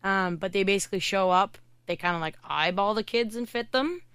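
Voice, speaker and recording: A woman hockey coach from Canada, a podcast guest, talking in a loud, chatty way with lots of "like" fillers. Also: medium pitch, clear, resonant tone, dry recording. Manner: chatty, fast storytelling with many "like" fillers. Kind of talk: casual podcast interview.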